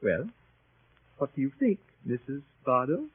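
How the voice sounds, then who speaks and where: An actor playing a flustered, kindly English gentleman who hems and haws pompously, in 1930s radio style. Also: medium pitch, wildly swooping pitch, unhurried, breathy edge, exaggerated voice. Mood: hesitant, coy. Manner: hesitant, pompous, hemming and hawing. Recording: muffled, tinny 1930s radio broadcast.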